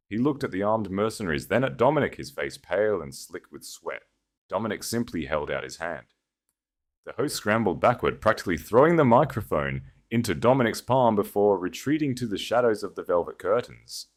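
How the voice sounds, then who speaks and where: A male storyteller with a low-pitched voice narrates fiction. His delivery is scripted and dramatic, in audiobook style.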